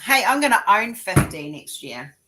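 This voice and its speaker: A woman's voice: mid-range voice, big pitch swings, moderate pace, background noise. A woman talks casually on a live stream. She is chatty and self-deprecating, laughing easily as she goes. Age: 40s. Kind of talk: casual chat.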